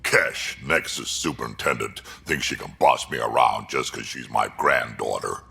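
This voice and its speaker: An actor playing a gruff old alien grandfather and colony veteran in a video game, blunt and wry with a very gravelly voice. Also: moderate pace, pushed and bright, exaggerated voice. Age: older. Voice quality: very gravelly, rumbling. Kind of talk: video game dialogue acting.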